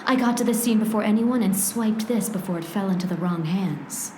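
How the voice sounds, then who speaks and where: A woman's voice: medium pitch, a little fast, dark tone, lightly acted. An actress playing an earnest, urgent woman pleading with a detective in a comedic noir radio play, with dramatic delivery. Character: urgent, pleading female visitor in a noir mystery. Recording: echoey room, clear background noise.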